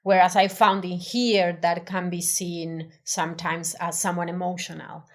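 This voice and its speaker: Woman, Spanish accent, low voice, hesitant restarts, interview guest. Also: level tone, a little slow. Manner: hesitant, many restarts and fillers, thoughtful. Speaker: design professional, podcast guest. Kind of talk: casual interview conversation.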